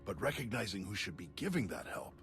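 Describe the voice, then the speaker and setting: Deep, gravelly, thoughtful Jedi master. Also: male voice, sing-song, heavily acted. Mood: earnest. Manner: measured, philosophical, gently formal. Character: thoughtful, courteous male Jedi master, calm and wry. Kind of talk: video game cutscene acting. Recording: music behind the voice.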